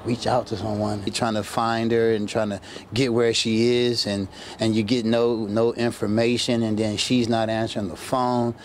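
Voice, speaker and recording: A man speaking haltingly in a street interview outside a house, into a handheld news mic. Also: on the low side, little pitch movement, a little hiss or hum. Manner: halting, emotional. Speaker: great uncle of the girl. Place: outdoors by a house.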